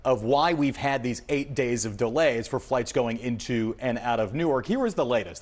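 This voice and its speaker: An American man working as an aviation correspondent, explaining developments in a live news report with emphatic, punchy phrasing. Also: medium pitch.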